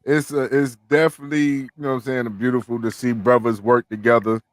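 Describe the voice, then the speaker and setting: A man's voice: medium pitch, little pitch movement, brisk, dry recording. A man hosting a casual podcast interview, talking loosely with lots of "you know what I'm saying" fillers. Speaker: podcast host.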